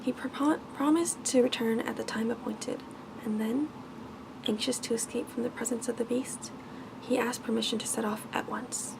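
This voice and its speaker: A woman's voice: fairly high pitch, fairly even intonation, normal speed. A woman reading a bedtime story aloud in ASMR style as a storyteller. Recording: clearly noisy background ambience.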